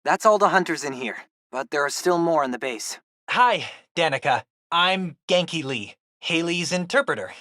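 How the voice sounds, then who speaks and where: A man's voice: on the high side, expressive, normal speed, sharp tone, no room sound, studio quality. A young man playing a geeky, upbeat teenage boy in a video game, a loyal best friend with a casual, wry, chatty way of talking. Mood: earnest. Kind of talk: video game companion dialogue.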